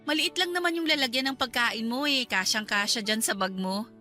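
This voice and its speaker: Bright, high-pitched hurt wife. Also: female voice, brisk, faint music behind. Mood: caring, casual. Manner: nagging, emotional, pleading. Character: hurt, jealous young wife confronting her husband. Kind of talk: Tagalog radio drama acting.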